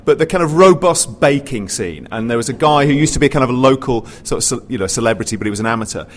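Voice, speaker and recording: A man on a live panel telling anecdotes casually, often halting and interrupting himself. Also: medium pitch, lively intonation. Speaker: panellist, pantomime performer. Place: theatre, live panel discussion. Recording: live recording, clear background noise.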